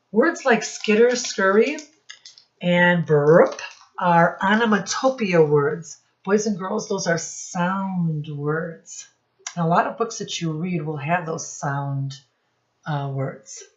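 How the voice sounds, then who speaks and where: A woman's voice: low voice, takes it slowly, highs cut off. A woman speaking as a teacher in a children's read-aloud, explaining words and putting questions to her young listeners. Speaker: teacher reading storybook to children.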